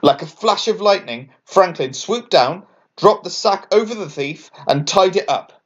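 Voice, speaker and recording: A man reading a children's bedtime story aloud as an expressive scripted narration. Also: high voice, measured pace, dull audio. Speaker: author and story reader.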